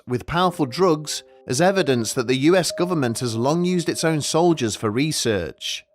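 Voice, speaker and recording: Man narrating a mystery documentary over music. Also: medium pitch. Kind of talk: scripted documentary storytelling narration. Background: background music.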